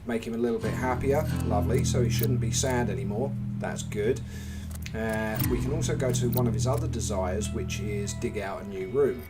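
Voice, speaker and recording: A man with an English accent and a lowish voice talks through his game as he streams it. He narrates each click in a relaxed, chatty way and breaks off to answer his chat. Game music plays clearly behind him. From England. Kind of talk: live gameplay commentary.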